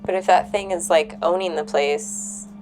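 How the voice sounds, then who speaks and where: A woman's voice: fairly low pitch, fairly even intonation, normal speed, darkish tone, slight background noise, low-quality recording. A woman playing a tabletop role-playing game, chatting casually and partly in character.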